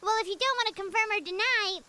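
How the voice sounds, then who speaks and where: A woman voicing a small, curious, blunt and excitable childlike fairy companion in a video game, with a squeaky voice. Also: quick pace, slight background noise. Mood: surprised curiosity. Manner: exclaiming, hesitant questions. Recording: loud background music.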